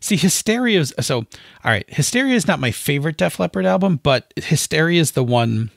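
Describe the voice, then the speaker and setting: A man's voice: a little high, big pitch swings, fairly quick, creaky, dry recording, clean studio sound. A man in his forties chatting casually as a podcast co-host. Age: 40s. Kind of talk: casual chat.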